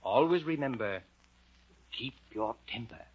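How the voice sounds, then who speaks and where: A man playing a gentle, indulgent, slightly pedantic gentleman telling a story in period drama style. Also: fairly low pitch, big pitch swings, normal speed, slightly rough. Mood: storytelling, instructive. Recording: dull lo-fi old soundtrack, faint music.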